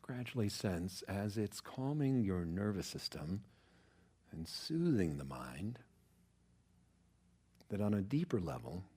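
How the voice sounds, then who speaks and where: Deep-voiced man guiding a calm meditation. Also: husky. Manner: calm, measured, soothing instruction with pauses. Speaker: yoga nidra teacher. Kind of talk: guided relaxation meditation.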